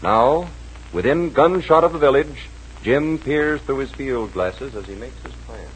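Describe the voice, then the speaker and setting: A man's voice: mid-range voice, expressive, unhurried, slight background noise, poor audio. A man with an American accent, delivering dramatic, emphatic narration and sales copy as a 1940s radio announcer.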